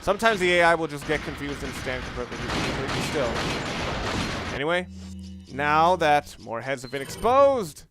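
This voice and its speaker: A man's voice: fairly high pitch, big pitch swings, normal speed, noisy background. A man doing casual, rambling video game commentary, informal and opinionated, with some mild swearing. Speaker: let's play commentator.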